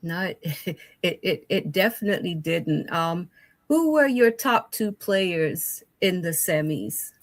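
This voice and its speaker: A woman's voice: lowish voice, expressive, takes it slowly. A woman co-hosting a casual chat, readily agreeing with her guest and laughing along. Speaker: co-host.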